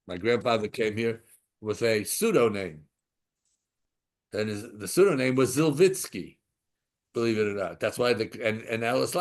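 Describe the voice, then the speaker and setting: A man giving an informal Torah class, asking rhetorical questions and mixing in Hebrew terms as he drifts into side stories. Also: medium pitch. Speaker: Torah teacher.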